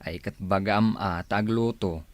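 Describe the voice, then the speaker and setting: A man's voice: lowish voice, measured pace, dry recording, natural voice. A man acting a frank, generous co-worker with a Filipino accent, talking chummily in a radio drama. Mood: chummy. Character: friendly, blunt male co-worker, generous buddy.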